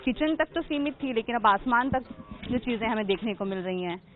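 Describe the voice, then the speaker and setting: A woman's voice: on the high side, animated, brisk. A woman news reporter presenting from the scene and questioning a guest in a formal, emphatic way. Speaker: field reporter. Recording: narrow phone-like audio.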